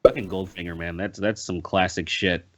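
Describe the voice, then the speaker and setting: A man in casual podcast chat, rambling and halting, swearing freely. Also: low voice, little pitch movement, fairly bright tone. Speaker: podcast guest. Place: indoor room on a group video call.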